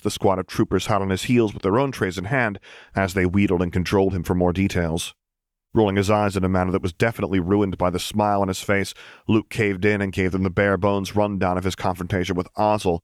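A man's voice: deep voice, dry recording, clean studio sound. A man reading scripted story narration as an audiobook narrator.

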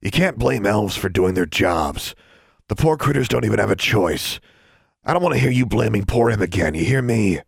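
A man playing a kindly, folksy older groundskeeper who scolds gently. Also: deep voice, animated, a little fast, creaky, husky, no echo, clean studio sound, heavily acted. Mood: gently scolding.

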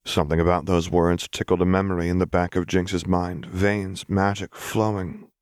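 Deep guy reading dramatic fiction like an audiobook, super dry recording. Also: level tone, moderate pace, darkish tone, smooth, no echo. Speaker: audiobook narrator. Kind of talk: dramatic scripted fiction narration.

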